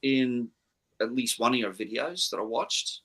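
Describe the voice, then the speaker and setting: Bright-voiced Australian man, hint of Scottish. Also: medium pitch, a little slow. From Scotland. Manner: easygoing and chatty. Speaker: host. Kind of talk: casual chat.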